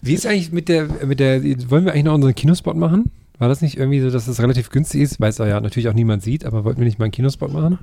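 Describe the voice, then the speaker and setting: A man's voice: mid-range voice, very fast, creaky, mellow. A man co-hosting a podcast in casual conversation with friends. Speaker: podcast co-host. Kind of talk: casual chat among friends.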